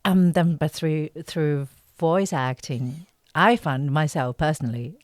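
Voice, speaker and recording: A woman speaking as an interview guest on a podcast, halting and thoughtful, with many pauses. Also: low-pitched, no echo, studio quality. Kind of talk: conversational interview.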